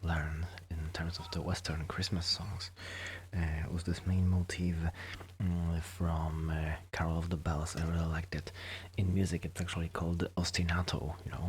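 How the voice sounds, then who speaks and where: A man who speaks English as a second language, chatting softly and intimately as in an ASMR role-play, hesitant and self-deprecating, often slipping toward a whisper. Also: deep voice, a little hiss or hum. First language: not English, a second-language speaker. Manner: soft-spoken, often dropping into whispers, hesitant. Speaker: ASMR performer playing a musician friend.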